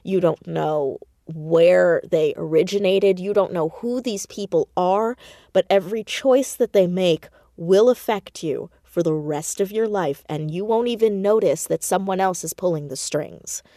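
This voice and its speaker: Casting: American woman, lowish voice, earnest interview guest, emphatic and thoughtful, dry close sound. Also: a little slow, dark tone, no echo. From United States, New Jersey suburb. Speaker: interview guest, audio drama creator. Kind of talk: reflective conversational interview.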